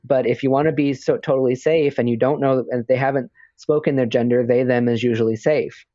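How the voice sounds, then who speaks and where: A man's voice: mid-range voice, fairly even intonation, fairly quick, dark tone, dry recording. Hesitant man with muffled lo-fi sound. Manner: hesitant, many fillers and restarts. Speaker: podcast co-host. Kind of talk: casual chat. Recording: dull lo-fi audio.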